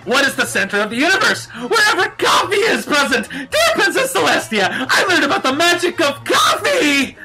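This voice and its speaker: Manic cartoon unicorn mare male performer: piercing, high, forceful, shrieking, slurred ranting for storybook comedy. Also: very animated, normal speed, few pauses, soft background music, heavily acted, low-quality recording. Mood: manic raving. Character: brainy female unicorn gone manic and frenzied.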